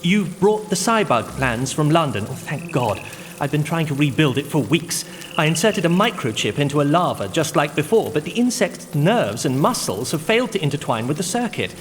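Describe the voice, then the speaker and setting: A man's voice: a little high, sing-song, normal speed, slight background noise. An actor playing a fussy, nerdy male entomologist in an audio drama, pedantic and eager about his experiments. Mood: eager, anxious.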